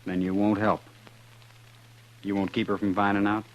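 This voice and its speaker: An actor playing a bitter, defiant young jailed outlaw in a 1950s radio western, terse and sullen in delivery. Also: male voice, fairly low pitch, flat delivery, normal speed, lo-fi sound, close to an everyday voice. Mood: urgent, pleading. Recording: noisy 1950s radio recording with hum.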